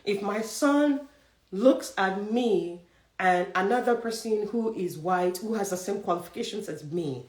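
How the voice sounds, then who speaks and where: A young Nigerian woman with a Nigerian accent, giving a forceful, preachy motivational career talk that challenges her listeners directly. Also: medium pitch, animated, a little slow, echoey room, poor audio. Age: young adult. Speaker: career coach.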